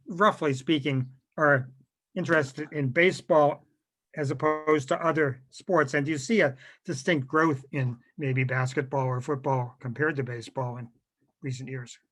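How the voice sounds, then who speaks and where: A man's voice: a little high, unhurried, fairly bright tone. A man moderating a casual video-call discussion, rambling a little and correcting himself as he goes. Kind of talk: casual discussion, asking questions. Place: virtual meeting on video call.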